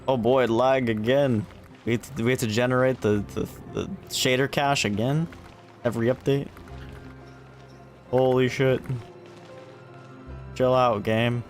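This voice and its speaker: A man's voice: medium pitch, steady tone, a little slow, pauses often. A man livestreaming a game, chatting casually and swearing as he talks to himself and the game. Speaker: gaming livestreamer. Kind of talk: casual livestream chat while playing. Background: video game music.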